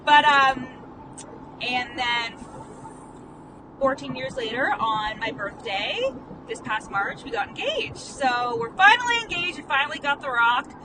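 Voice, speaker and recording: A woman in her late twenties with a stutter, talking through a candid, rambling vlog monologue full of "like" fillers and the occasional swear. Her voice sits a little high. The mic is set back from her in the car, and steady road noise is clearly heard. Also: a little slow. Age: late 20s. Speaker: life coach and podcast host. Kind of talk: casual vlog monologue while driving. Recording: distant phone mic in a noisy car.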